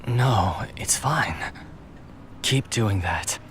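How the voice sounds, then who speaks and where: A man playing a reticent god of death, distracted and terse, speaking haltingly in an audio drama. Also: on the low side, animated, fairly bright tone, slight background noise, heavily acted.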